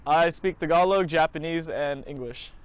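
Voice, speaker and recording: A man's voice: fairly high pitch, normal speed, noisy background. An eighteen-year-old man answering interview questions thoughtfully, searching for his words. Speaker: student interviewee.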